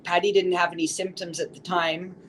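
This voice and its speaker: Low-voiced woman, steady telling. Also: a little fast, a little hiss or hum, poor audio. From Saskatchewan, Canada. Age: 40s. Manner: steady, with frequent fillers. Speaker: office administrator interviewee. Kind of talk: interview recollection.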